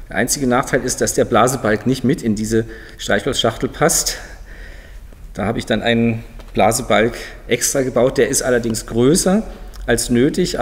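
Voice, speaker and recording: A man in his fifties from Berlin, explaining in a matter-of-fact way as an organ builder showing his work. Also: medium pitch. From Berlin, Germany. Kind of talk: informal explanatory demonstration to camera. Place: large museum hall, indoors. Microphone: lapel mic. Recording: long reverb of a large hall.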